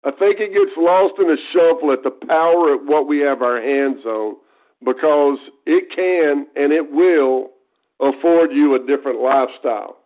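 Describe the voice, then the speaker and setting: A man's voice: a little high, normal speed. A man in his fifties with an East Texas accent, a guest speaker talking earnestly and folksily on a conference call, often restarting his phrases. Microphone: telephone. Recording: tinny phone line.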